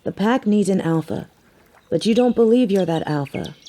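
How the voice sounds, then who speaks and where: A woman's voice: medium pitch, animated, moderate pace, warm tone, natural voice. A woman acting in an audio drama as a biker girl, a devoted human mate with a teasing, sarcastic way of talking. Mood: earnest, tender. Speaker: voice actor in an audio roleplay.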